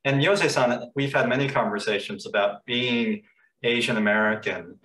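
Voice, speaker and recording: A man's voice: mid-range voice, moderate pace, fairly bright tone. Middle-aged man asking questions in an echoey hall. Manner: rambling, repeats words while framing questions. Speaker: lecture series host. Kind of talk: moderated panel questions. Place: temple hall. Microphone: video call. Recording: echoey room, mic at a distance.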